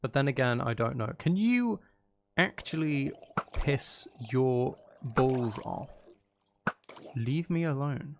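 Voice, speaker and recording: A man's voice: mid-range voice, animated, slow, vocal fry, slight background noise. A man chatting casually on a gaming livestream, thinking aloud as he builds and bantering playfully with viewers, with some mild swearing. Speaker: livestream host.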